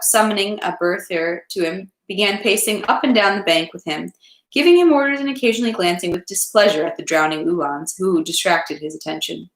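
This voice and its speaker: Female amateur reader for literary narration, medium pitch, slightly unpolished delivery, recorded in a reverberant home room. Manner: unpolished amateur read-aloud, stumbles and rereads. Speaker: reader of a read-aloud series. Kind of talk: reading a classic novel aloud. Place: indoors at home, echoey room.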